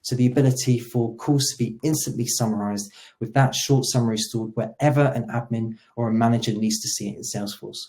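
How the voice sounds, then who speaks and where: Mellow-voiced man presenting a software demo. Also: medium pitch.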